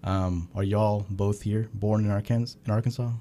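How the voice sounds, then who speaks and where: Man, podcast host, lowish voice, loose casual interviewer. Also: fairly even intonation, normal speed, mellow, slight background noise. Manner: easygoing, repeats and restarts phrases.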